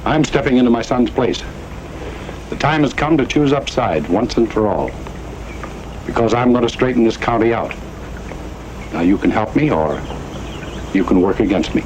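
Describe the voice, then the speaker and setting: An American actor playing a grave, resolute father turned lawman in an old western drama, speaking deliberately. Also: medium pitch, expressive, a little mellow, lightly acted. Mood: stern resolve. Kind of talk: mid-century western drama acting. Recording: noisy old western soundtrack.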